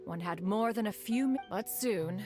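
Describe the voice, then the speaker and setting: A woman's voice: mid-range voice, normal speed, lightly acted. Woman as haughty immortal, formal speech over music. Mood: solemn. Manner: formal, haughty, calls herself "one". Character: proud, lofty immortal adeptus woman, stern yet caring. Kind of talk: anime-style video game voice acting. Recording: music behind the voice.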